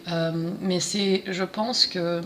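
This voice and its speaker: Hesitant young woman, low voice. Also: fairly even intonation, slow, fairly bright tone, slight background noise. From France, Paris suburbs. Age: 20s. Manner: hesitant, many fillers and restarts. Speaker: interview guest. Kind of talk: casual conversational interview.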